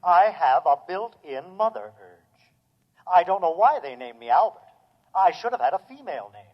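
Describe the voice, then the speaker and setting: An unflappable household robot from vintage radio science fiction. The voice is fairly high and matter-of-fact, eager to oblige. It rings with long reverb on a dull, band-limited recording. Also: male voice, sing-song, moderate pace. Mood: breezy. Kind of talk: 1950s radio drama acting.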